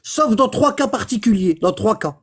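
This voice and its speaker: A man's voice: high-pitched, expressive, moderate pace, husky. A man giving informal religious teaching, explaining patiently, repeating himself and checking that he is understood. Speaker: religious instructor.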